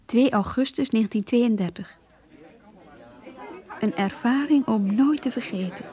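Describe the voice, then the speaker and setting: An actress playing an earnest, idealistic young woman who reads her diary in a radio play, with a breathy, intimate, measured delivery. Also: a little high, dark tone, a faint crowd, lightly acted. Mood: earnest, reflective.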